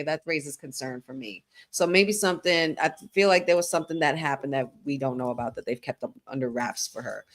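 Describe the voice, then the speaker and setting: A woman co-hosting a live wrestling watch-along, chatting casually and laughing often. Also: deep voice. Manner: animated, chatty, quick to laugh.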